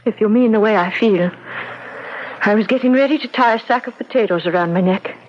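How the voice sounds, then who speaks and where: A woman's voice: mid-range voice, a little fast, close to an everyday voice. An actress playing an earnest, anxious young Irish woman in love, in a radio drama. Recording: faintly noisy dull old radio recording.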